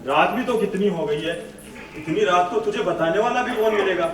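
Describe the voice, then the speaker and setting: An actor in a Hindi stage play, playing a protective, fatherly nomad chieftain who gently cautions. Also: high-pitched, moderate pace, slight background noise. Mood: concerned, protective. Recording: distant, very echoey auditorium recording.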